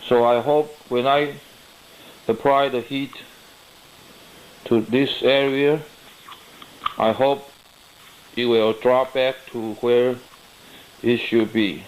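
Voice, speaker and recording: Guy doing a diy repair demo, really slow n careful, roomy echo, bit of noise. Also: mid-range voice, steady tone. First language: not English. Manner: halting, careful, step-by-step. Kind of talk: unscripted how-to narration. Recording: echoey room, light noise, clicks.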